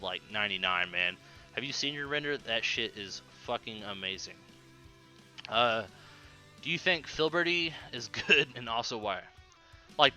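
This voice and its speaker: A young man with a very bright, forceful voice hosts a laid-back podcast over faint music. He rambles through listener questions in slangy, profanity-laced talk. Now and then he stutters slightly on a word. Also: medium pitch. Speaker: podcast host. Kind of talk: casual chat answering listener questions.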